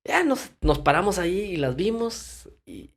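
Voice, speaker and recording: A man's voice: high-pitched, very animated, a little slow. A Mexican man from Durango chatting casually on a podcast, emphatic and colloquial, fond of rhetorical questions and salty language. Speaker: podcast guest. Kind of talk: casual podcast conversation with anecdotes.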